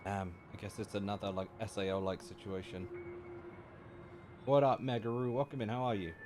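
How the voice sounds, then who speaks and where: A man's voice: fairly low pitch, fairly even intonation, brisk, noisy background. A man streaming live, giving casual, slangy and joking commentary as he reacts to a game. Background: game music.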